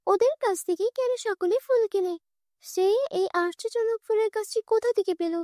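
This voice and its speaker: A woman's voice: very high-pitched, moderate pace, warm tone, no echo, clean studio sound, pitched above the performer's own voice. A woman voicing a jealous, greedy young flower seller in a cartoon fairy tale, grasping and indignant. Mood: jealous, scheming.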